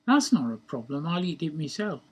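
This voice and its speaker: Man reading as a cheeky male schemer, husky voice, slightly high pitch, glib, blunt, storybook character. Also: big pitch swings, moderate pace. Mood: breezy, scheming. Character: cheeky scheming male joker, Gresham, blithely bluffing.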